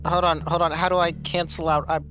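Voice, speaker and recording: Low voiced woman playing a tabletop game, chatty and casual, loud music behind. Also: little pitch movement, fast. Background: loud background music.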